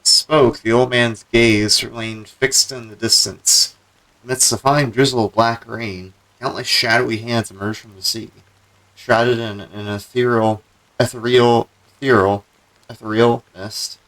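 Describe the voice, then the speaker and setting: A man's voice: mid-range voice, fairly even intonation, measured pace, fairly bright tone, low-quality recording. A man doing casual live commentary as he plays a story game. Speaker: streamer reacting to and reading game story.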